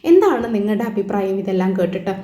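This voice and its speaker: A woman's voice: medium pitch, sing-song, fast, warm tone. A woman giving informal news commentary to online viewers as the host. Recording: echoey, roomy voice-over.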